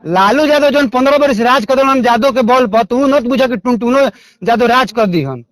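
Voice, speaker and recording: High-pitched Bhojpuri-accented man, argumentative. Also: moderate pace, dry recording, rough recording. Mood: aggrieved. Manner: argumentative, aggrieved, repetitive, coarse-mouthed. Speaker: caller. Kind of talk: confrontational phone call.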